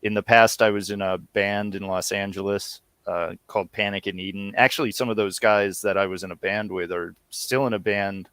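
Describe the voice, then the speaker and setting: A man's voice: on the low side, steady tone, moderate pace, few pauses, fairly bright tone, clear, resonant tone, no room sound. A man chatting casually as an interview guest in a hesitant, modest manner. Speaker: interview guest, audio series co-creator. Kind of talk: casual chat. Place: remote online call from home.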